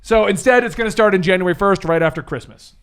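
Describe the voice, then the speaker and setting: A man speaking casually as host and game master, animated and jokey as he banters and recaps. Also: sits high, fast talker, clean tone. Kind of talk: casual banter and recap for a tabletop gaming show.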